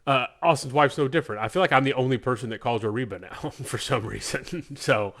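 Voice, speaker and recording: A man's voice: medium pitch, brisk, fairly bright tone. A man hosting a podcast in a loose, informal, conversational way, rambling as he goes. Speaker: podcast host. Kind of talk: casual podcast chat.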